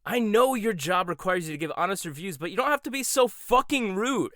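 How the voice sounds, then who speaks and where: A man's voice: high-pitched, expressive, brisk, pushed and bright, no room sound, clean studio sound. A man playing a proud, combative young head chef in an audio roleplay, talking in a sarcastic, confrontational way. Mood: defensive. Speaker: voice actor.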